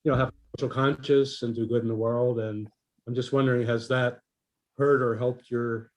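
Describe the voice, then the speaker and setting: A man with a mid-range voice speaks as an audience member asking a question. He is casual and somewhat hesitant, moving forward in short halting phrases. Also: mid-range voice, fairly even intonation, low-quality recording.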